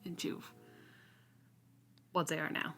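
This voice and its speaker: A woman's voice: fast talker, sharp tone, slight background noise. A woman co-hosting a casual book discussion, informal and somewhat hesitant as she thinks aloud. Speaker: podcast co-host.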